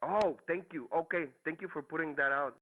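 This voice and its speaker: A man's voice: fairly high pitch, fairly quick, narrow, tinny audio. A man from Mexico with a Mexican Spanish accent, calling in to a talk show and searching for words with many restarts. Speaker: caller. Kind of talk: casual call-in chat.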